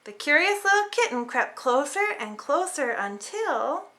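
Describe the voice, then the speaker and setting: A woman narrates a children's storybook with theatrical flair. Her voice is high and bright, with big swings in pitch. She tells it expressively, as if to very young listeners. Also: unhurried. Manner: animated, dramatic storytelling for small children. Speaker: storybook reader.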